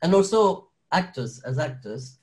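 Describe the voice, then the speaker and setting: A young man from Belgium speaks English with a soft French touch. He talks in a loose, rambling way, restarting sentences and laughing often as he tells his story. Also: a little high, sing-song, a little slow. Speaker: guest actor. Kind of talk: casual interview chat.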